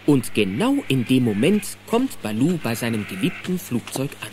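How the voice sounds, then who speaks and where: A man's voice: medium pitch, very animated, normal speed, background noise. A man narrating a children's audio play as scripted storytelling, vivid and suspenseful. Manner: vivid, suspenseful present-tense telling. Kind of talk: dramatic scripted storytelling in German.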